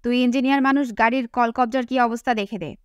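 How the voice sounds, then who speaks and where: A woman's voice: a little high, fairly even intonation, fast talker, clean tone, dry recording, studio quality, close to an everyday voice. Woman putting on a grave, terse father. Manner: grave, clipped, commanding. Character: grave, terse middle-aged father, a university teacher.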